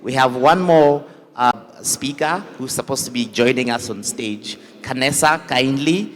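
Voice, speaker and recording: A man's voice: medium pitch, measured pace, a bit bright. A man moderating a conference panel on stage, formal and polite, often saying "kindly". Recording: echoey hall.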